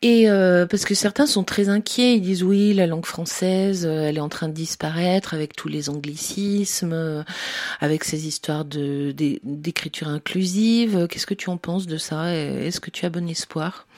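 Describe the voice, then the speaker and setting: A woman's voice: on the low side, moderate pace, a little mellow. Friendly woman interviewer. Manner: friendly, asks questions. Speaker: radio interviewer. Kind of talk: radio interview.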